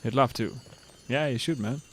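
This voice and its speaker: A man in his thirties, streaming live and chatting casually with viewers in a relaxed, rambling way. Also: mid-range voice, normal speed, a little hiss or hum.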